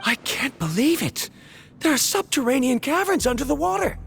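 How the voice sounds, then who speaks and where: A woman's voice: high voice, sing-song, normal speed, creaky, music in the background, heavily acted. A woman voicing an earnest, analytical scholar in a video game, speaking in a formal, explanatory way. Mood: astonished.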